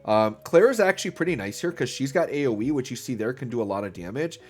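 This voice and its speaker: A man's voice: mid-range voice, animated, fast, faint music behind. A man hosting a gaming channel, talking casually through his gameplay. He sounds a little stuffy from an illness and fills his talk with "um" and "right?". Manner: upbeat, filler-heavy, talks while playing.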